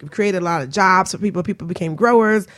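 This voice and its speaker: A woman hosting a talk radio show, chatty and curious, asking quick questions. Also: medium pitch, a bit bright. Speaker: host.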